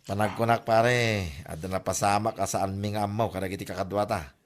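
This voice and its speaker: A man's voice: lowish voice, fairly even intonation, brisk, close to an everyday voice. A man with an Ilocano accent acting a teasing, scolding village buddy in a comedy audio drama. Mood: mocking amusement. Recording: clear background noise, ambience.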